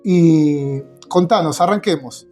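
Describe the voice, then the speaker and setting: A man's voice: on the high side, takes it slowly, faint music behind. A man with a Rioplatense accent hosting an interview in his guest's home, friendly and chatty, speaking to viewers. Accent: Rioplatense Spanish. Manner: warm, chatty, addresses viewers. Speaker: host, interviewer. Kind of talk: enthusiastic casual interview.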